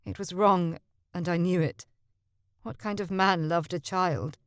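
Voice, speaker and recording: A woman voicing a young gentleman suitor in an audiobook, speaking calmly and softly. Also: lowish voice, a little slow, clear, resonant tone, no echo, studio quality. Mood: tender. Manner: calm, even, soft-spoken. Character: earnest young gentleman suitor, calm and tender. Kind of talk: audiobook character voice.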